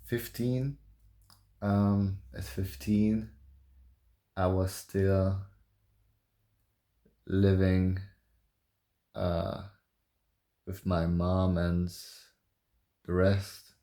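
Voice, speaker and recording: A young man with a low, gravelly voice and a mellow, muted tone talks softly about himself. He is calm and hesitant, leaving long gaps as he thinks aloud in a confessional vlog. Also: drawn-out words, long pauses. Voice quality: soft voice. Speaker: vlogger.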